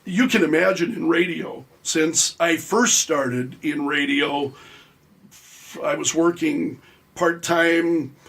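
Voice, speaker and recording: A man's voice: on the high side, slow pace, gravelly, fairly bright tone, low-quality recording. A middle-aged man, a radio talk show host, speaking conversationally as an interview guest and explaining his work in a self-deprecating way.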